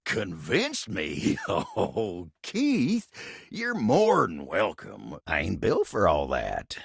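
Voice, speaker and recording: An actor playing a lonesome, bashful cowboy table in a video game, with a gravelly Western American drawl and a gruff but kind, folksy, halting manner. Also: male voice, sits low, huge pitch swings, no room sound, studio quality, exaggerated voice. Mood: guarded, folksy. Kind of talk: video game character dialogue.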